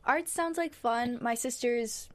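A teenage girl, a young dancer, answering interview questions in a hesitant, soft-spoken way with frequent fillers. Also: a little high, unhurried. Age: teens. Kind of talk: casual interview.

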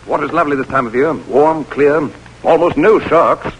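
An actor playing a world-weary English soldier of fortune with an English accent, clipped and nonchalant, in 1940s radio drama style. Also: male voice, a little high, normal speed, heavy background noise, natural voice. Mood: brisk, nonchalant.

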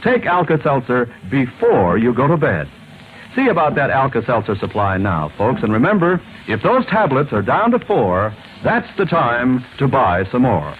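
Male announcer for vintage radio commercial. Animated, persuasive, mid-pitched; tinny recording with slight noise. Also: moderate pace. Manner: emphatic, persuasive salesman delivery. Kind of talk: scripted 1940s-style radio ad read. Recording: thin, faintly noisy vintage radio recording.

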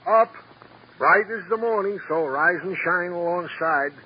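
An actor playing a kindly, fussy police colleague with florid, coaxing speech in a vintage radio drama. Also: male voice, high voice, measured pace. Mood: cheery coaxing. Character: fussy, kindly, flowery-talking police squad-room colleague. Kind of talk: 1940s-50s radio drama acting. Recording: muffled, noisy vintage radio broadcast.

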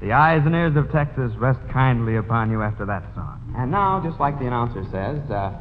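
A man's voice: medium pitch, animated, fairly quick, big-room sound. A man hosting a 1940s radio variety show, introducing performers at a stage microphone. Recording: muffled, noisy old radio recording.

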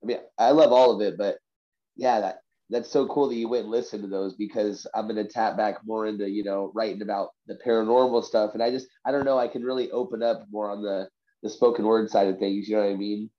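A man's voice: on the low side, fairly even intonation, fairly quick, warm tone, lo-fi sound. A man from Vancouver with a congested, stuffy nose, sick and chatting casually as a guest, full of slangy bros and dudes. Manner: slangy, says bro, dude and you know. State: sick with a stuffy cold from covid. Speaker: podcast guest, hobby rapper and poet. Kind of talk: casual chat, personal storytelling.

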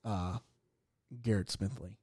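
A man co-hosting a podcast, talking casually with a dry, teasing deadpan. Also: low-pitched, takes it slowly. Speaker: podcast co-host. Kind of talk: casual chat.

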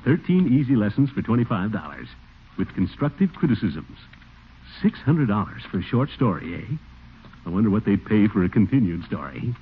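An excitable, self-important middle-aged American man with a blustery, very animated delivery. He sounds like classic radio comedy, on a dull vintage recording with faint noise. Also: on the low side, moderate pace. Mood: musing, scheming. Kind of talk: 1940s radio comedy acting. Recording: muffled, faintly noisy 1940s radio recording.

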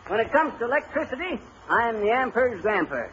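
Boastful bumbling handyman husband, American, high-pitched, folksy patter, old radio comedy, noisy recording. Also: sing-song, a little fast, muffled recording. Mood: cocky. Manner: folksy, cocky, colloquial patter. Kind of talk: 1930s-40s radio comedy acting.

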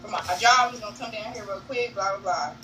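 A woman's voice: mid-range voice, fast talker. A young woman in a casual interview on a video call, candid and laughing often, calling people honey and y'all. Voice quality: naturally monotone voice. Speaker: reality show cast member, guest. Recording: distant mic with faint noise.

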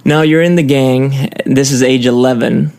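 Male interview host, middle pitch, gravelly, curious and rephrasing. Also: steady tone, normal speed, darkish tone. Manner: curious, rephrasing questions with fillers. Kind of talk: conversational interview.

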